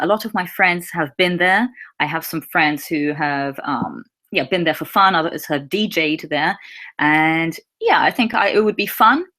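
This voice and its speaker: A young woman with a slightly low voice, whose was Russian. She talks in an easygoing, chatty way and answers viewers' questions one by one. She keeps her words clear and simple for learners. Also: fairly bright tone. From England. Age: young adult. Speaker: English teacher. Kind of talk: casual live Q&A.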